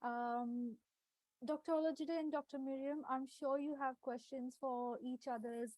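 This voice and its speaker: A woman's voice: high voice, fairly even intonation, measured pace, darkish tone, no room sound, rough recording. A woman hosting a webinar, politely guiding the panelists and often saying "um" and "uh". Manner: polite, with frequent "um" and "uh". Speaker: webinar host and moderator. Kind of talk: moderating a webinar. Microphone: video call microphone.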